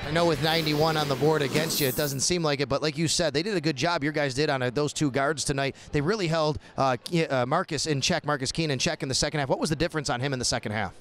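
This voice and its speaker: Man, sports broadcaster, quick pace, slightly high voice, courtside arena, handheld mic. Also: a bit bright, soft background music. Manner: brisk broadcaster delivery. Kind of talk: post-game sports interview. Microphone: handheld stick mic.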